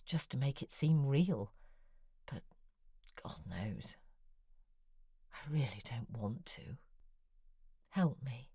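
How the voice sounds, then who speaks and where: An actress playing a middle-aged romance novelist in an audio drama, speaking in a quiet, confiding way that sometimes drops to a whisper. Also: female voice, rumbling low, animated, takes it slowly, long pauses, husky, no echo, heavily acted. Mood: pleading. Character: introspective middle-aged woman romance novelist, wry and lonely. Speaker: confessional monologue. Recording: dry close studio with faint music.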